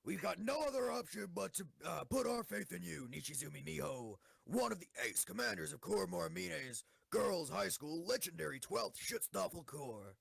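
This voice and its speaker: A brusque student council girl, voiced by a man, high and very creaky. The husky delivery is pushy and swoops about, in an anime-style audio drama. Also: animated, normal speed, hardly any pauses, a bit bright, no room sound, exaggerated voice. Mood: brusque, persuasive.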